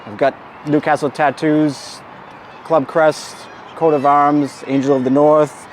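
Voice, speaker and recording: A man's voice: fairly high pitch, flat delivery, slow pace, slight background noise. A man in his forties, interviewed outdoors, telling how he became a fan in an easygoing, rambling way. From Boston, USA.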